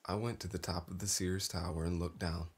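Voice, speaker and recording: An actor playing a tormented, resigned killer and estranged brother in a stage drama, speaking haltingly in a gravelly, husky voice. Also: male voice, low-pitched, fairly even intonation. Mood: subdued. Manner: slow, halting, haunted.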